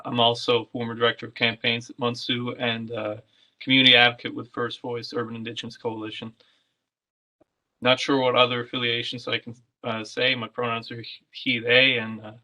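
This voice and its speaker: A man's voice: mid-range voice, completely flat delivery, fairly quick, sharp tone, rough recording. A man introducing himself on a panel in a matter-of-fact way. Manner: matter-of-fact listing of roles.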